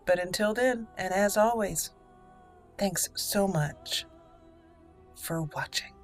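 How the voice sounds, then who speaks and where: A woman's voice: medium pitch, takes it slowly, faint music behind. A woman hosting a casual video game playthrough, chatting and thinking aloud over game ambience. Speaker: host of a gaming channel.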